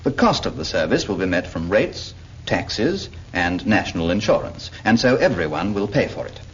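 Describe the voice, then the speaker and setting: A man's voice: deep voice, sing-song, normal speed, a little hiss or hum. A British man narrating a 1940s public information cartoon in a patient, explanatory manner. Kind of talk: 1940s scripted film narration, addressing a character. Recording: dull 1940s film soundtrack with music.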